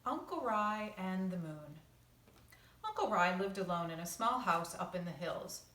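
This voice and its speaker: A woman teacher reading a picture book aloud to children, with casual asides and expressive delivery. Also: on the low side, unhurried. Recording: roomy echo, distant microphone.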